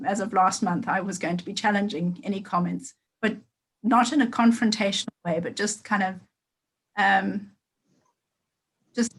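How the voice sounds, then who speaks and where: A middle-aged woman with a Zimbabwean accent, speaking in an online group meeting in a measured, hesitant way with many ums and restarts. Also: mid-range voice, a bit bright, rough recording. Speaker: meeting participant sharing an update.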